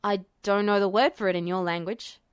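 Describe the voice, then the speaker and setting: A woman's voice: mid-range voice, fairly even intonation, brisk, smooth, no echo, studio quality, pitched below the performer's own voice, close to an everyday voice. A woman voicing a defiant, protective teenage boy in an audiobook story, talking in a blunt, terse way. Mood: tense. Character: tense, defiant teenage boy fighter, protective of his friend.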